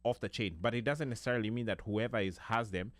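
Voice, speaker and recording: Kenyan man, low-pitched, chatty co-host. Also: quick pace, a bit bright, dry recording. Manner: chatty, mixes in Swahili phrases, many restarts. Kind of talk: casual talk radio discussion.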